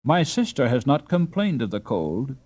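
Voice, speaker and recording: A man's voice: medium pitch, big pitch swings, normal speed, a little mellow, pitched above the performer's own voice. A man voicing a poor but noble peasant boy in a storybook, earnest and courteous. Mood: protective, sad.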